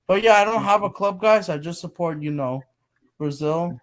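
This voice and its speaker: A man's voice: high voice, normal speed, fairly bright tone. A nineteen-year-old man chatting casually as a guest, rambling and earnest, repeating himself. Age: young adult. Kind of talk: casual chat.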